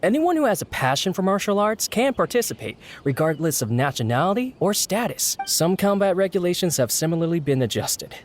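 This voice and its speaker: A man's voice: high-pitched, big pitch swings, brisk, hardly any pauses, a little hiss or hum. An actor playing a courteous, earnest male organiser in a video game, speaking formally and explaining eagerly. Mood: eager, explanatory. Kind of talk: animated game voice acting.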